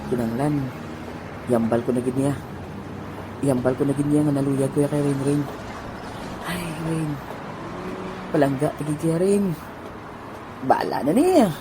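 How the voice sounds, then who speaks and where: A man's voice: a little high, measured pace, long pauses, background noise, lightly acted. A man playing a shy teenage boy in love. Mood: wistful. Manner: soft-spoken, nervous laughs. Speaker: voice actor. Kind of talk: amateur audio drama acting. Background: background music.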